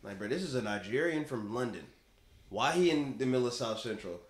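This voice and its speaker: A young man from the western United States, a podcast guest talking casually and bluntly in slang, often dropping to a low mutter, on a handheld mic. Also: lively intonation, brisk. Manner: blunt, slangy, laid-back, drops to a mutter. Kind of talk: casual chat. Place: living room podcast set. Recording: roomy living room sound.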